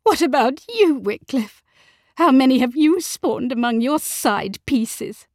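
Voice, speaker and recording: Storybook aristocratic wife played by a woman: high, trembling, overwrought, emphatic. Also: expressive, slow, dry recording, clean studio sound, heavily acted. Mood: snapping, defiant. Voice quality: trembling, shrill. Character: anguished aristocratic mother, outraged and pleading wife. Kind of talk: audiobook character acting.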